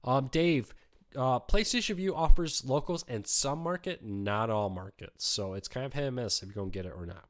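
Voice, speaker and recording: A man hosting a casual live question-and-answer stream, talking in a relaxed, chatty way with lots of ums, his voice weak from a cold. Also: medium pitch, moderate pace. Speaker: host answering viewer questions.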